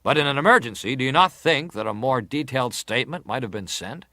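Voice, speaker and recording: An actor playing a formal, persistent senator who questions a witness deliberately in a dramatised hearing. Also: male voice, mid-range voice, lively intonation, pushed and bright, dry recording. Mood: insistent, stern. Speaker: interrogator at a hearing. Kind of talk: dramatised reading of hearing testimony.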